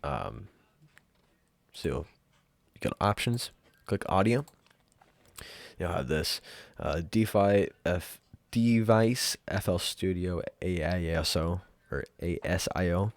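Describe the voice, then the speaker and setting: A man giving a casual, off-the-cuff software tutorial in an offhand, rambling and informal way. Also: low-pitched, takes it slowly, creaky, long pauses, dry recording. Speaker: tutorial presenter.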